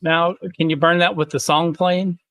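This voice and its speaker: A man chatting on a livestream, joking in a deadpan way. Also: fairly high pitch, normal speed, dry recording. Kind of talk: casual livestream chat.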